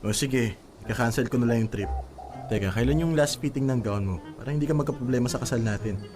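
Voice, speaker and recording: A man's voice: mid-range voice, fast talker, music in the background, natural voice. A man with a Filipino accent playing a practical, accommodating young groom-to-be in a radio drama, questioning and pleading. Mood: easygoing.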